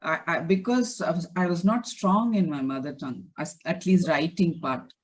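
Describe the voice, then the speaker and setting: A woman's voice: on the low side, moderate pace, slightly rough. A woman author whose mother tongue is Tamil, speaking candidly in a conversational interview. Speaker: author, panel guest.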